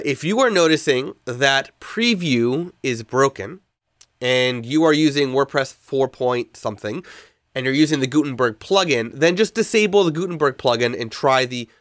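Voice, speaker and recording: A man's voice: mid-range voice, fairly bright tone, no room sound, studio quality. A man hosting a podcast, congested from a cold, explaining a technical fix step by step with frequent fillers and restarts. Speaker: podcast host.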